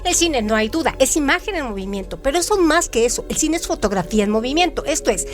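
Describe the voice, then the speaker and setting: A woman with a high voice narrates scripted literary notes as a radio co-host. Her delivery is articulate and explanatory. Music plays clearly behind her. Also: expressive, fast.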